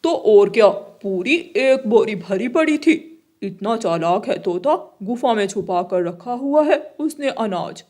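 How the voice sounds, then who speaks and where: A woman's voice: mid-range voice, expressive, a little slow, dark tone, natural voice. A woman voicing a sly crow husband in a Hindi cartoon story, talking in a gossipy, matter-of-fact way. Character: sly male crow husband, thieving, slow-witted.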